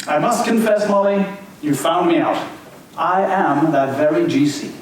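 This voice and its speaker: A man's voice: fairly high pitch, a little slow, close to an everyday voice. An older man acting a wry, evasive Irish book reviewer in an amateur stage reading, mild and defensive. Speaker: community theater actor. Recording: echoey hall, mic far off, faint hiss.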